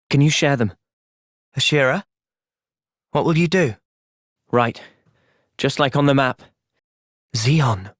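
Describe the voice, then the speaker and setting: A man voicing a thoughtful, loyal young hero in a video game, with an earnest, measured delivery. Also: on the high side, dry recording, studio quality. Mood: pensive. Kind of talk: video game cutscene voice acting.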